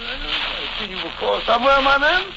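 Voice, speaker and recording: A flustered old english doc, really high voice, noisy old radio show. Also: male voice, animated, normal speed, gravelly, highs cut off, heavily acted. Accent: British. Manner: bumbling, hesitant. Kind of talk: 1940s radio drama acting.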